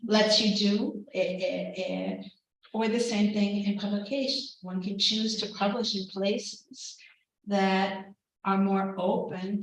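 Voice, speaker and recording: A woman professor giving a keynote and then answering questions, speaking in a measured, reflective way with soft laughs between points. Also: mid-range voice. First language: English. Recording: very echoey hall, distant mic.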